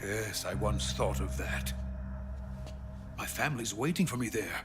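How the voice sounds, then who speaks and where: An actor playing a weary, guilt-ridden middle-aged Russian rocket scientist in a video game, grave and deliberate. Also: male voice, fairly low pitch, lively intonation, music in the background. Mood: weary, bitter. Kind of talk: dramatic video game cutscene acting.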